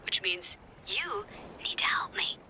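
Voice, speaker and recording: A woman's voice: a little slow, bright, forceful tone, whispered, rough recording. A woman voicing a flippant, impatient resistance member in a video game, with sarcastic, chatty asides. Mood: breezy, bossy. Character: flippant, impatient female resistance member scheming behind her leader's back. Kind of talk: animated video game voice acting.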